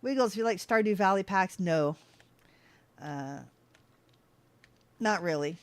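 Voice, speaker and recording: A woman's voice: mid-range voice, expressive, unhurried, no echo. A woman streaming a game, chatting casually with her viewers, easily sidetracked and laughing often. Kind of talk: casual livestream chat while playing.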